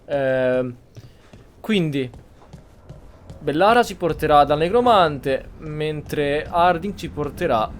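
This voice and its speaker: A man's voice: fairly high pitch, expressive, takes it slowly, pauses often, a little hiss or hum. A man from Italy, casually commenting on a roleplay video game as he streams. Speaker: gaming streamer.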